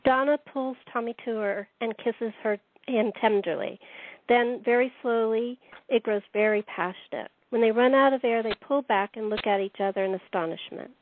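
A thin, phone-like recording carries a woman narrator. Her somewhat high voice reads the scripted stage directions of a radio play. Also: moderate pace, no room sound.

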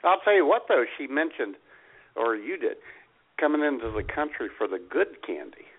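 A man's voice: medium pitch, very animated, moderate pace, narrow, tinny audio. A man hosting a radio show, bantering casually and jokingly with a co-host.

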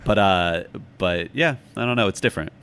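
A man's voice: deep voice, moderate pace, a bit bright, clean tone. A middle-aged man giving reflective, conversational answers as an interview guest, thoughtful and self-deprecating. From United States, Chicago.

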